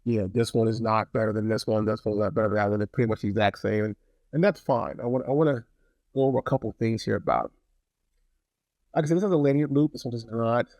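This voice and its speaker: A man with a low-pitched, creaky voice talks through a casual hands-on product review. His delivery is conversational, and he repeats phrases to drive points home. The sound is dry and close. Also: fast talker, a little mellow, dry recording.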